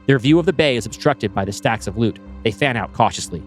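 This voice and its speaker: A man reading a sci-fi screenplay aloud, setting scenes with brisk stage directions. His voice is mid-pitched and plain, with faint music playing underneath. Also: animated.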